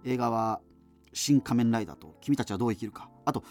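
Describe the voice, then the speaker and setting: An actor playing a nostalgic, wry radio host from the future, rambling conversationally through a monologue. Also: male voice, mid-range voice, brisk, a little mellow, lightly acted. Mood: musing, wry. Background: music.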